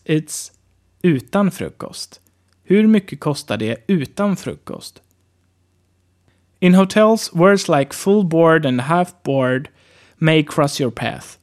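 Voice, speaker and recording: Man, language teacher, casual and chatty, slow repetitions, slightly higher voice. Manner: relaxed, chatty, repeats phrases slowly. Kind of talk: casual spoken lesson.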